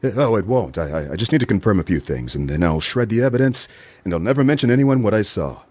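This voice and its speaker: An actor playing a weary, suspicious private detective in a noir radio play, with a dry, sardonic deadpan manner. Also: male voice, fairly low pitch, fast, no room sound. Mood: earnest, persuasive.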